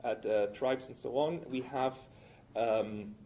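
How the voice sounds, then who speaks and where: A man's voice: medium pitch, slow, a crowd in the background. A man lecturing in public, explaining spontaneously and often repeating phrases as he goes. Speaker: academic lecturer.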